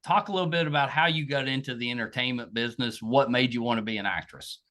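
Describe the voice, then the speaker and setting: A man's voice: mid-range voice, quick pace, bright, forceful tone. A man hosting a casual interview, with folksy, easygoing conversational phrasing. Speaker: podcast interviewer, host.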